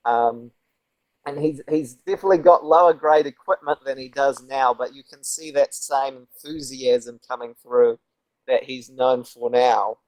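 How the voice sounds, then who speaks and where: A man's voice: mid-range voice, measured pace, dry recording, rough recording. A man from New Zealand with a light New Zealand accent, a guest explaining things politely and thoughtfully in a casual online chat.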